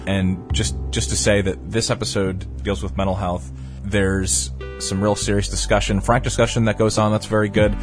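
A young man hosting a podcast, talking in a casual, earnest way with many hesitations, sounding congested. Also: deep voice. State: congested, under the weather. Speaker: podcast host.

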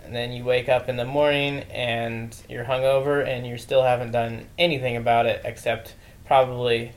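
A man's voice: medium pitch, flat delivery, moderate pace, poor audio. A thirty-year-old man co-hosting a casual podcast chat, talking loosely and joking a little. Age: 30s. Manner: loose, conversational, mildly joking. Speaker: podcast co-host.

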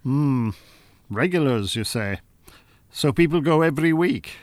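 An actor playing a smooth male Irish con-artist hypnotist who poses as a professor, formal and hesitant, in an audio drama. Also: medium pitch, animated, unhurried, dry recording, natural voice. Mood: curious, sceptical. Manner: hesitant, formal, well-spoken.

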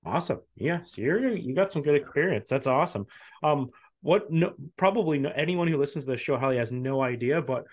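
A man's voice: fairly high pitch, lively intonation, a little fast. A man hosting a podcast, asking questions in a friendly, rambling way with many fillers. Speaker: podcast host. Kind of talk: casual interview hosting.

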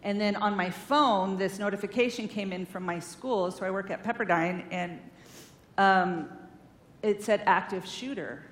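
A woman giving an informal, earnest sermon-style talk at a church, chatty and full of fillers, on a stage microphone. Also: unhurried. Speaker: guest speaker at a church, counselor. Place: large hall in a church. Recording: echoey hall, faint rumble.

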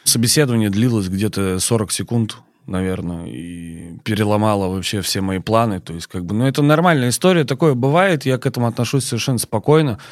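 Male podcast guest, chef and boss. Lowish pitch, firm, measured delivery, dry room. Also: dry recording. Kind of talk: podcast interview talk. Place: podcast studio.

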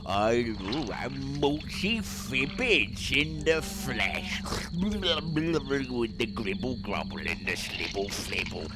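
A man voicing a creepy, babbling male wood spirit that speaks in drawn-out nonsense sounds. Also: medium pitch, lively intonation, heavy background noise. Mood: creepy babble. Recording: loud music behind.

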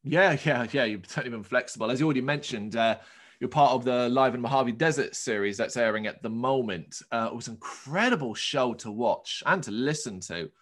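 A man's voice: mid-range voice, animated, brisk, a bit bright. A man hosting a music podcast, moving between introduction and interview chat. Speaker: podcast host.

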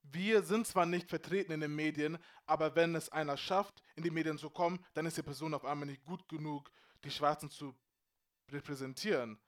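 A man with a smooth, resonant voice pitched a little high leads a relaxed group conversation. He grew up in Bavaria and slips English phrases into his German, keeping a loose, chatty flow. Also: fairly quick. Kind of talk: casual group discussion.

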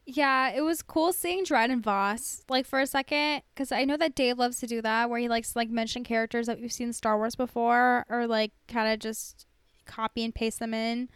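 Female podcast co-host, high clear voice, informal and sweary, chatting casually in a dry studio-like sound. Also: fairly even intonation, normal speed, a bit bright, no echo. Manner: informal, profane, heavy use of "like". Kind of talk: casual chat reviewing a TV episode.

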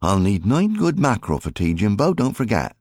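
A man's voice: lowish voice, sing-song, brisk, a little mellow, dry recording, studio quality, pitched above the performer's own voice. A man voicing a firm, fond country wife and mother in an audiobook, scolding in folksy, no-nonsense speech. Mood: brisk, fond. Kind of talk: audiobook character acting.

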